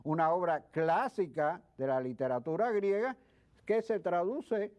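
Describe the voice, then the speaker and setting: A man speaking as a guest expert in an interview, explaining things in a halting way with repeated words. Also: high voice, a little mellow. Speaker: guest expert, history professor. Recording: dull lo-fi sound.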